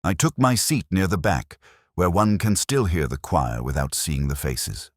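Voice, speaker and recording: Male actor as older detective narrator for audio drama: extremely low voice, reflective, weary, literary delivery, dry studio sound. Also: expressive, no room sound. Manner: measured, literary, melancholic. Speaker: first-person narrator.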